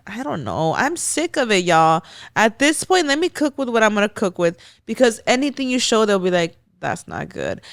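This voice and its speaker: A woman's voice: medium pitch, very animated, moderate pace, no room sound, clean studio sound. A young adult woman with a Mexican American accent from Los Angeles, co-hosting a podcast in casual chat and ranting bluntly with lots of swearing. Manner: blunt, profane, exasperated rants. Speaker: podcast co-host.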